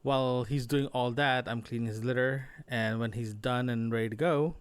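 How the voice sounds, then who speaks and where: A man's voice: medium pitch, fairly even intonation, normal speed, clear, resonant tone, no room sound. A man speaking in a casual audio-diary monologue as a solo podcaster, rambling and often rephrasing himself. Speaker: solo podcaster, remote-working designer.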